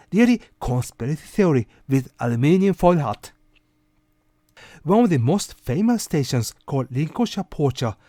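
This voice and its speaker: A man's voice: on the high side, warm tone, dry recording, studio quality. A man hosting an informal commentary monologue, speaking conversationally with rhetorical asides to the listener. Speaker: host of a weekly rant video show.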